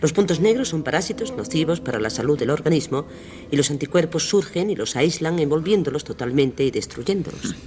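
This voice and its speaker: Actor as a lively, high-voiced scientist. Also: male voice, brisk, slight background noise, natural voice. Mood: explanatory. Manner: didactic, declamatory. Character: learned, confident scientist explaining the human body. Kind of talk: radio theatre acting.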